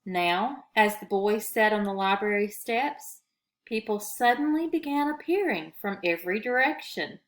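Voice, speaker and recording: A woman storyteller reads children's fiction aloud. She takes her time, and her expressive delivery rises and falls in a lively way around a middling pitch. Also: a little slow.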